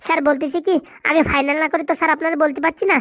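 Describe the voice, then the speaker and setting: A woman plays a fretful little schemer of a village sidekick in a comic cartoon. The voice is high and chatters extremely fast, deferential, in dialect. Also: fairly even intonation, a bit bright, no room sound, clean studio sound. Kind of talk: comic Bengali cartoon dialogue.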